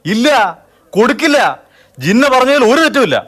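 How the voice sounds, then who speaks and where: A man's voice: very high-pitched, very animated, normal speed, a bit bright, heavily acted. A man playing a bitter, quarrelsome man in a Malayalam radio drama, talking in a combative, suspicious way. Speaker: Abdullah, an angry customer.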